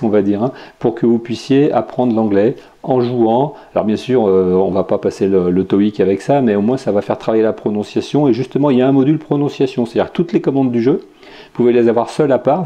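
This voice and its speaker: A man's voice: mid-range voice, fairly quick, mellow. A French man hosting a podcast, casually reviewing tech and games with many fillers and restarts. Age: adult with children. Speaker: podcast host.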